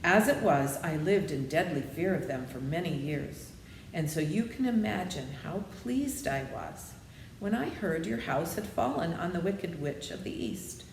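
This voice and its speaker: A woman's voice: lowish voice, animated, vocal fry, a little hiss or hum. Woman reading as an old humbug wizard, echoey. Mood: confiding, explanatory. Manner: meek, explanatory, measured. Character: old humbug wizard, apologetic yet kindly.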